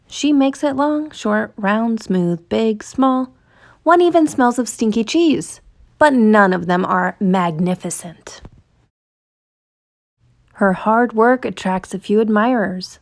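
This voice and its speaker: A woman reading a children's picture book aloud in an expressive, deliberate storytelling manner, stretching words for emphasis. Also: medium pitch, creaky. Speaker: storytime reader.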